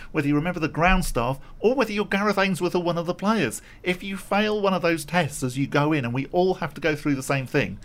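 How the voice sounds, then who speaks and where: A man's voice: a little high, a bit bright. A man, a radio presenter, chatting casually as he narrates his arrival and then talks it over in the studio. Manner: chatty, self-deprecating, lots of fillers. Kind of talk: casual on-the-go commentary and studio chat. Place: in a car, then walking outdoors at a stadium.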